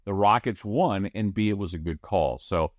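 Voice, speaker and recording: A man's voice: lowish voice, moderate pace, no room sound, highs cut off. A middle-aged man, a radio play-by-play broadcaster, giving chatty, rambling interview answers with many restarts. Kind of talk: casual podcast interview answers.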